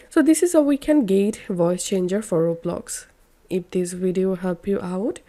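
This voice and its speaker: A woman narrating a step-by-step software tutorial in simple, instructional wording. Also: medium pitch. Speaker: tutorial host.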